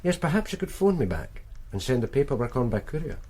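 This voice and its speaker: An actor playing a dry, weary, matter-of-fact police sergeant in a radio drama. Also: male voice, medium pitch, very animated, quick pace, a little mellow, slightly rough. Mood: businesslike. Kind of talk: naturalistic radio drama acting.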